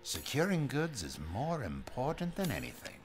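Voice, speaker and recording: A man's voice: medium pitch, wildly swooping pitch, moderate pace. An actor playing a jovial, friendly male merchant in a video game, with a theatrical salesman manner. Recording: game audio with music behind.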